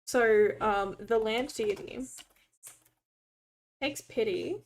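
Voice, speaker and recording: Young Aussie woman, unhurried and giggly, higher voice, talks to her screen while typing. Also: a little hiss or hum, rough recording. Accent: Australian. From Australia. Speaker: co-host. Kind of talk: casual worldbuilding chat while typing.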